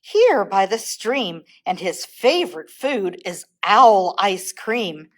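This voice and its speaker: A woman's voice: mid-range voice, sing-song, takes it slowly. A woman voicing a plucky, sly little mouse in a children's storybook, polite, confident and teasing. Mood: boastful.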